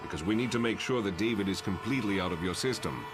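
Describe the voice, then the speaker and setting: Man acting a grave deep-voiced mentor, noisy. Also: fast, lightly acted. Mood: grave, urgent. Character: grave, caring male telepath professor and mentor. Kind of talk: motion comic voice acting.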